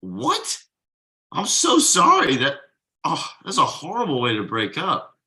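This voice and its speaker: A man's voice: on the high side, huge pitch swings, a little slow, bright, forceful tone, exaggerated voice. A man playing an exhausted, easygoing thirty-something slacker dad in a casual, hesitant comedy table read. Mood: friendly, concerned.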